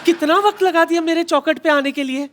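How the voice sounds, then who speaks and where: High-pitched, theatrical old granny. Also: a little fast. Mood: coy, wistful. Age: older. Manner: theatrical, coy, calling out. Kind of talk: broad stage comedy sketch. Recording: TV studio stage with audience noise.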